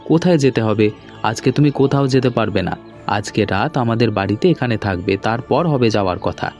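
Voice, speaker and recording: A man's voice: on the low side, lively intonation, moderate pace, darkish tone. A man playing a hospitable, insistent young husband in a cartoon, with a hearty conversational manner. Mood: welcoming, insistent. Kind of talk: cartoon dialogue acting. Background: background music.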